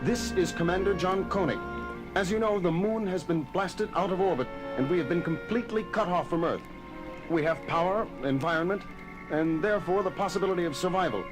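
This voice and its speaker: An actor playing a resolute moon base commander in a science-fiction audio drama, grave and authoritative. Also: male voice, high voice, fairly quick, lightly acted. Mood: solemn. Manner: authoritative, grave, clipped orders. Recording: loud dramatic music bed behind.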